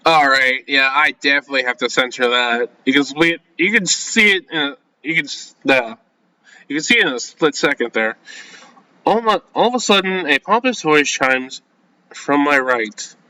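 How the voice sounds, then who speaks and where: Animated man reading a game aloud. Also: a little high, low-quality recording. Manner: flustered, halting, self-censoring with casual slang. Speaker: gaming video host. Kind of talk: reading a visual novel aloud with casual reactions.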